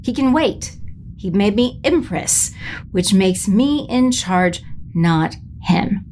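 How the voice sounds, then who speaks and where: A woman playing an imperious, scheming space sorceress empress in an audio drama, creaky and commanding. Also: mid-range voice, expressive, takes it slowly, darkish tone, a little hiss or hum. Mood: haughty, impatient. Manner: commanding, haughty.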